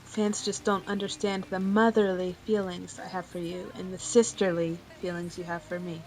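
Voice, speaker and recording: A woman's voice: on the low side, little pitch movement, normal speed, a little mellow, pitched below the performer's own voice, close to an everyday voice, rough recording. A woman voicing a stern, easily irritated female cat character in a fan-made cartoon question show. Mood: irritated, restrained.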